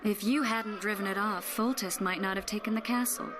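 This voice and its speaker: A woman playing a composed, knowledgeable sorceress speaking calmly and earnestly in a fantasy game. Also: medium pitch, fairly quick, background noise, lightly acted. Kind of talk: dramatic game dialogue.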